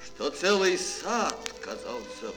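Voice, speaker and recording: A male storyteller narrates a children's fairy tale in a fairly high voice with hugely swooping intonation. He takes his time, shaping phrases theatrically with pauses, over prominent music. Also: rough recording. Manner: expressive, dramatic phrasing with pauses.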